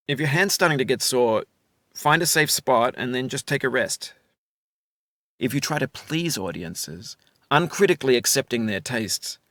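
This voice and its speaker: A middle-aged man narrating a game in a calm, musing and wry way, reciting quotations and verse. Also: mid-range voice, no room sound, studio quality. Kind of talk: scripted reflective game narration.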